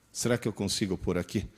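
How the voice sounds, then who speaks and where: An Italian-born man in his sixties, Italian his first language, lectures teachers in a slightly husky voice. His pitch swings widely as he tells stories and fires questions at the audience. He speaks at the pace of a seasoned teacher. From Italy. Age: 60s. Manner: animated, storytelling, quizzes the audience.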